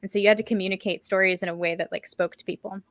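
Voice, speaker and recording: A woman's voice: medium pitch, fairly even intonation, rapid-fire, no echo, clean studio sound. A woman hosting a podcast, talking in a chatty, self-deprecating way with many fillers. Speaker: podcast host. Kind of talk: casual podcast conversation.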